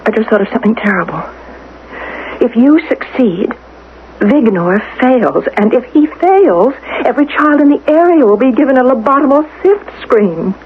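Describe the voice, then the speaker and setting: A woman's voice: a little high, animated, moderate pace, natural voice. An actress playing an anxious, doubting wife and mother in an old-time radio drama, fretful and questioning. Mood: horrified. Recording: tinny, band-limited, hissy old radio recording.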